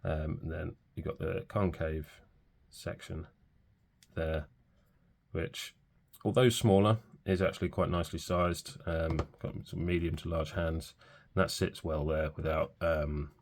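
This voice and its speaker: Male pen reviewer in his forties, very low and gravelly, congested from a cold, unhurried and full of ums. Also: a little hiss or hum. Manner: relaxed, hesitant, many fillers. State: stuffy from a cold. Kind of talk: casual product review.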